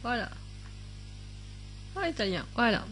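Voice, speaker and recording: Chatty woman demoing subtitles, fairly high voice. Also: very animated, measured pace, fairly bright tone. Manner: chatty, thinks aloud with many fillers; reads out translated subtitles in other languages. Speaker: YouTube tutorial maker. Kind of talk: casual screen-recording tutorial.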